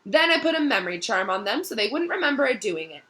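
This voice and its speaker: A woman's voice: a little high, very animated, fast talker, sharp tone. Woman acting pompous, flustered male wizard professor. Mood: smug. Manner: pompous, blustering. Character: vain, evasive middle-aged male wizard professor, blustering and self-important.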